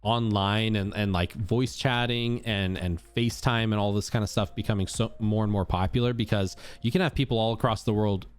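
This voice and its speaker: A man's voice: lowish voice, fairly even intonation, fairly quick, hardly any pauses, clear, resonant tone. An American man hosting a podcast interview, easygoing and curious, with plenty of fillers as he asks questions. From United States. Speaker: podcast host. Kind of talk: casual interview.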